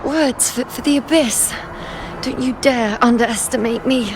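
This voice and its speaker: Woman doing young priestess seer, proud n defiant, high voice, slow soft delivery, game audio w/ noise. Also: natural voice. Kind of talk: game voice acting.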